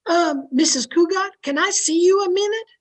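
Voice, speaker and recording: A very high, thin-pitched female housemaid, acted by a woman in a radio sitcom. She is folksy and deferential, yet frank and chatty with her employer. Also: normal speed. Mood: hesitant. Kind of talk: old-time radio sitcom acting.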